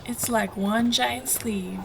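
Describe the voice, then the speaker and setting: A woman's voice: a little high, steady tone, slow pace, vocal fry, noisy background. A woman playing a cocky, teasing airship pilot and trickster in an audio drama, sly and flippant. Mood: playful, boastful. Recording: music behind the voice.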